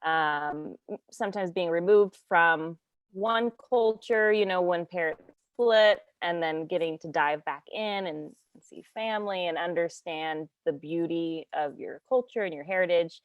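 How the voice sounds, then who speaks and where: A woman's voice: on the low side, a little slow, clear, resonant tone, no echo, muffled recording. Chatty woman podcaster, dry sound. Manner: chatty, lots of "like" fillers, laughs along. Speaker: podcast co-host. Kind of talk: casual chat.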